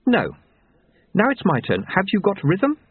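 Stern, brisk young woman voiced by a man, tinny line. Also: high voice, wildly swooping pitch, slightly rough, dry recording, pitched above the performer's own voice, heavily acted. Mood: brisk, dry. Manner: formal, precise, withering. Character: stern, brisk, slightly built young woman, severe and commanding. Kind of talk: audiobook character voice. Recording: narrow tinny phone-like sound.

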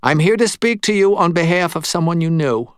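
A man's voice: on the high side, lively intonation, brisk, smooth, dry recording, clean studio sound, voice pushed up, natural voice. A man voicing a nervous, put-upon male medium in an audiobook, with a pleading, hesitant, apologetic manner. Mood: uneasy.

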